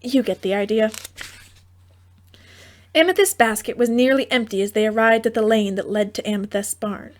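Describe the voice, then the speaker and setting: A woman's voice: a little high, normal speed, long pauses. A woman narrating a comic fairy tale audiobook in a theatrical, droll manner with wry asides.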